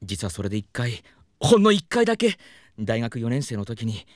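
A man's voice: medium pitch, normal speed, no room sound, studio quality, lightly acted. A man playing a polite, worry-prone young talent manager who gets whiny and flustered, in a comedic audio drama. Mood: nervous hope. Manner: polite, anxious, excitable.

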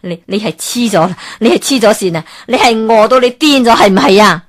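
A woman's voice: medium pitch, expressive, fairly quick, dry recording, studio quality, lightly acted. A woman voicing an anxious middle-aged woman pleading with a friend in a radio storybook, with a Hong Kong Cantonese accent. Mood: worried.

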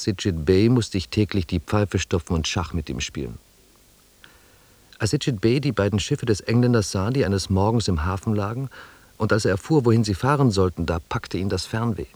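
Ein Schauspieler spielt einen Schreiber, der in einem Hörspiel gemessen und sachlich von seiner Vergangenheit erzählt. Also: male voice, on the low side, a little mellow, no room sound. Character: male native scribe recalling his past, plainspoken, wry. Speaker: narrator in a radio drama. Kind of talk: first-person storytelling narration.

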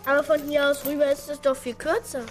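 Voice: high-pitched, little pitch movement, fast, natural voice. An actor in a children's radio play voicing a proud, sulky young orphan boy, blunt and cheeky. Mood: insistent. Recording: studio radio play with faint music.

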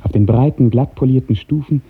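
A man narrating a literary radio drama in an earnest, lyrical, carefully enunciated way, with a soft, husky voice. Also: mid-range voice, fairly quick. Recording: muffled narrow-band 1950s radio recording.

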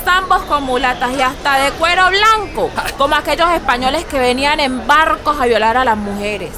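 Actriz como mujer colombiana de voz muy aguda, luchadora. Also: female voice, sits high, moderate pace, noisy background, natural voice. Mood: defiant. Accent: Colombian, paisa. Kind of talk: radio drama acting. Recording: music bed behind the voice.

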